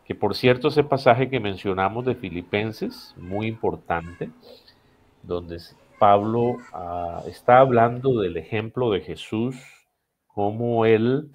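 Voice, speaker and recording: A man's voice: fairly low pitch, slow pace, highs cut off. A man with a Costa Rican accent explaining things as a podcast guest, in a didactic way with short, emphatic, repeated phrases. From Costa Rica. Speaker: missions director, podcast guest. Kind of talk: explanatory teaching in a podcast conversation.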